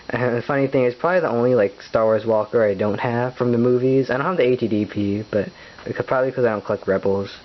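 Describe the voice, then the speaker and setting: A late-teen young man, chatting casually in a rambling home vlog, full of fillers and restarts and frequent chuckles. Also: medium pitch. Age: late teens. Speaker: vlogger. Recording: dull lo-fi recording with faint hiss.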